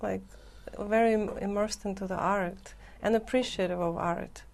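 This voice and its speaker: A woman's voice: medium pitch, steady tone, normal speed, creaky, a little mellow. Earnest Russian-accented woman in conversation. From Moscow, Russia. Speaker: guest, concert pianist. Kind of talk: casual interview talk. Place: TV studio, seated indoors.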